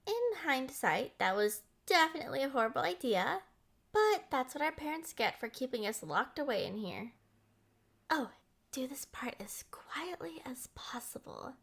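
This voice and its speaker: A young woman acting a playful, headstrong, lovestruck princess in an expressive roleplay audio. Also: high voice, heavily acted. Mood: giddy, mischievous. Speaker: voice actor.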